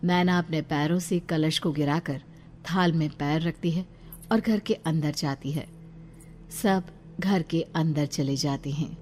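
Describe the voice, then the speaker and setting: Low-pitched woman narrating horror. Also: unhurried, a little mellow, slight background noise. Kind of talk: scripted horror story narration.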